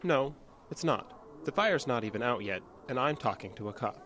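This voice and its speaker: A man's voice: mid-range voice, expressive, normal speed, fairly bright tone, slight background noise, close to an everyday voice. An actor playing a stubborn, guarded diner manager in an audio drama, terse and insistent in his answers. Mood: guarded, defensive. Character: guarded, stubborn male diner assistant manager under questioning.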